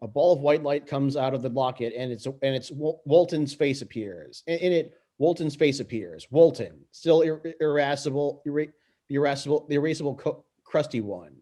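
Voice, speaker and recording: A man reading stage directions aloud in an amateur script read-through, halting and tripping over words. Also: a little high, warm tone.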